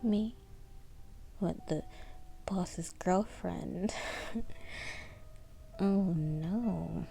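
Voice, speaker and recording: A woman acts out a scheming mafia femme fatale in an audio roleplay. She speaks in a low, dark, breathy half-whisper, close to the mic, drawing her words out slowly with teasing, cold-blooded poise. Music plays faintly behind her. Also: fairly even intonation. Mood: amused, coy. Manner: slow, teasing, coolly callous. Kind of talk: intimate whispered roleplay acting.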